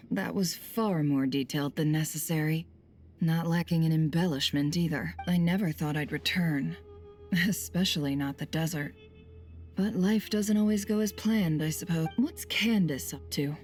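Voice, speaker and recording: A worldly, shrewd game-character fixer, acted by a woman with a lowish voice. She is dry, composed and businesslike, with faint music underneath. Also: moderate pace, darkish tone, close to an everyday voice. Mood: dry, wry. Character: cool, shrewd, worldly adult female fixer. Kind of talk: video game voice acting.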